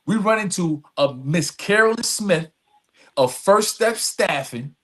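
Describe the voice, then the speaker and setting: Husky guy on a podcast, slightly high voice, earnest, repeats himself to drive it home. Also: animated, slow, a bit bright. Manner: earnest, emphatic, repeating phrases and checking in with "right?". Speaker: podcast guest, advocate and executive director. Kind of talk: conversational storytelling with earnest testimony.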